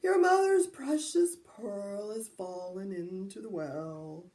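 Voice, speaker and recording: A woman's voice: mid-range voice, mellow, roomy sound, close to an everyday voice. Woman doing a drowsy old man. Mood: calm. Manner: slow, drowsy. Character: weary old man, dreamy and slow.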